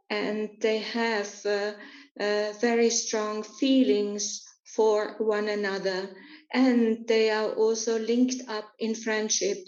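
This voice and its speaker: Woman, interpreter, very slow, flat delivery, hesitant, roomy dull lo-fi sound. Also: mid-range voice. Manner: hesitant, many filler sounds.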